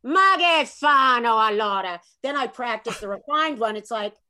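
A middle-aged woman, an author, talking in a lively, chatty way and slipping into bits of Italian. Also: on the high side, normal speed. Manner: animated, chatty, slips into Italian phrases. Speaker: author guest. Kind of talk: casual video chat.

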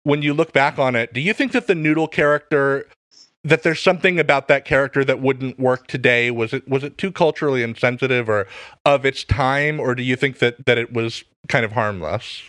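A man's voice: mid-range voice, brisk, fairly bright tone, no echo, studio quality. A man interviewing conversationally, asking long, chatty questions.

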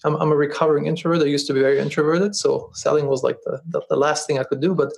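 A man with a German accent talks in an earnest, conversational way, circling back over his words as he finds them. His voice sits a touch high for a man and carries a noticeable gravelly fry. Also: fairly even intonation, rapid-fire, a little mellow. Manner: earnest, repeats words as he restarts. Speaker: podcast guest, business founder. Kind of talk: casual interview talk.

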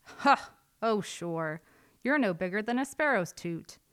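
Woman doing a loud, boastful eagle. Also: on the high side, sing-song, unhurried, clean tone, no echo, studio quality, voice pushed up, exaggerated voice. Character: big proud boastful male eagle.

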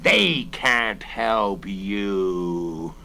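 A man's voice: lowish voice, sing-song, drawn-out words, gravelly, sharp tone, voice pushed down, heavily acted. A man voicing a brutal, threatening robber in a dramatic storybook reading. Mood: menacing. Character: brutal male robber, menacing and threatening cutthroat.

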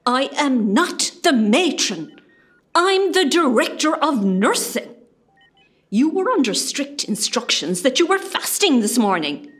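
Stern hospital nursing director played by a woman, high pitch, slow deliberate scolding, radio comedy. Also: sing-song, a bit bright, full character voice. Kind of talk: amateur comedy radio play acting.

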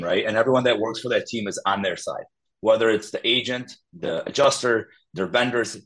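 A man's voice: lowish voice, a bit bright, breathy edge, poor audio. A man in his thirties from Poland, a guest talking casually with easy laughs and many fillers. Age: 30s. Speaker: guest, public adjuster. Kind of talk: casual interview talk.

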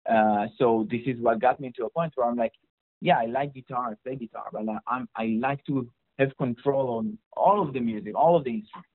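A man's voice: mid-range voice, a little fast, dry recording. A young man with an Israeli accent, chatting casually as an interview guest about his work as a mixing engineer, rambling with many fillers and restarts. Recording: dry, phone-like audio.

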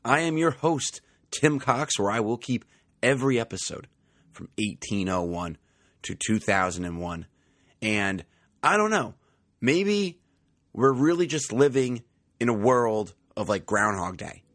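Chatty man, slightly raspy. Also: mid-range voice, very animated, a little slow, a bit bright, dry recording, clean studio sound. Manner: chatty, conversational, many fillers. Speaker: podcast host. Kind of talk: casual sports podcast monologue.

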